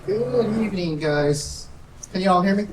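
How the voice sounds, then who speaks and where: A soft-spoken woman working as a sheriff's deputy, presenting safety topics to residents in a nervous, chatty way. She talks at a middle pitch. Her voice is picked up from a distance and echoes around the room, with faint noise behind it. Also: animated, moderate pace.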